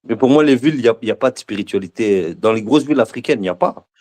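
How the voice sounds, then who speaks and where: A man's voice: medium pitch, animated, very fast, a little mellow. A man in a casual discussion, speaking hesitantly and often repeating words while he searches for them.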